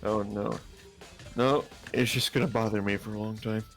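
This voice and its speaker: A man's voice: fairly low pitch, steady tone, moderate pace, gravelly, faint music behind. A man co-hosting a game stream, chatting casually with a needling, teasing manner.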